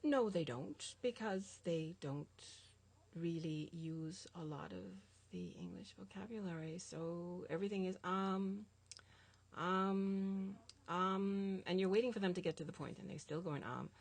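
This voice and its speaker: A woman art teacher with a Jamaican American accent, chatting as a guest in an animated way with lots of filler ums. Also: lowish voice, unhurried. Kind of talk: casual conversation.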